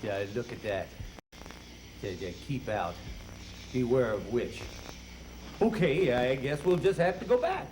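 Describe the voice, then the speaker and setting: A man's voice: mid-range voice, lively intonation, measured pace, long pauses, lightly acted. A man voicing a nervous, fearful male dog who stammers and hesitates, on a noisy old storybook record. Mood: excuse-making.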